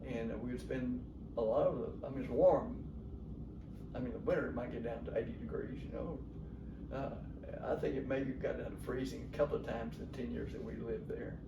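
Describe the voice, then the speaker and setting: Older male storyteller, gravelly and folksy, rambling casual reminiscence, captured in an echoey room. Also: mid-range voice, a little fast, lo-fi sound. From Texas, USA. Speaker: father telling family memories. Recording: noisy, echoey home recording, distant mic.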